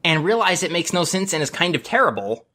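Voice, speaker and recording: A man's voice: a little high, very animated, brisk, pushed and bright, a little hiss or hum. A man co-hosting a casual podcast over an online call, explaining things in a rambling, playful, mock-serious way. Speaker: podcast host. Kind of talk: casual group chat.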